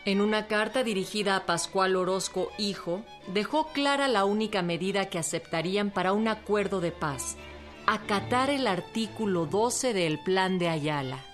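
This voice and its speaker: A woman's voice: mid-range voice, normal speed. A woman delivering scripted historical narration for a radio drama. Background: violin music.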